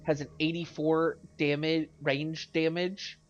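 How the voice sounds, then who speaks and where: A man's voice: fairly high pitch, little pitch movement, takes it slowly, highs cut off. A man with a stuffy nose from a cold, casually commenting on his gameplay and rambling through weapon stats, correcting himself as he goes, with faint game music behind him. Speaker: let's play host.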